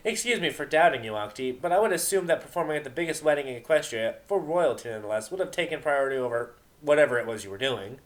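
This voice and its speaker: Casting: man plays cocky young unicorn mare DJ for storybook reading, raised pitch, brash and taunting. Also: lively intonation, fast talker, hardly any pauses, lightly acted. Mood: smug, doubting. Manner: brash, goading, indignant. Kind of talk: amateur dramatic fan fiction reading.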